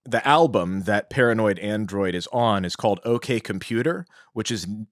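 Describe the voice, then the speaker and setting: A man with a fairly low voice co-hosts a podcast. He lays out details casually, as if filling in a friend, and the sound is close and very dry. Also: moderate pace, no room sound. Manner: informal, explains trivia in detail. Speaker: podcast co-host. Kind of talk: casual explanatory podcast chat.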